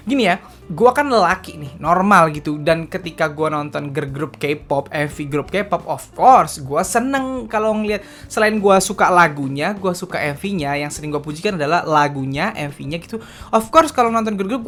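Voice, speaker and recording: A man's voice: high voice, a bit bright. A man, chatting casually and candidly as a K-pop news vlogger. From Indonesia. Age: late 20s. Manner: chatty and confessional, slangy, often ends with "gitu". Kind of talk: casual solo vlog commentary.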